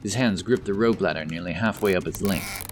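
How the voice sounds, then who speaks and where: A man with a deep voice narrates a scripted fantasy tale. He serves as storyteller of an audio drama, with light music underneath. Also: fairly quick.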